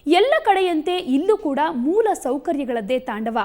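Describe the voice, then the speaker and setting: A woman's voice: sits high, sing-song, moderate pace, warm tone. A woman presenting a scripted news programme to viewers.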